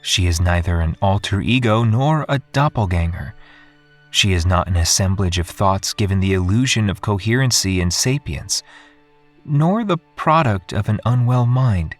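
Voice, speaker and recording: An actor playing an introspective researcher, giving a measured, contemplative first-person narration in an audio drama. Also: male voice, on the low side, lively intonation, close to an everyday voice. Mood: reflective, uncertain. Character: solemn, introspective male researcher recounting his ordeal. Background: music.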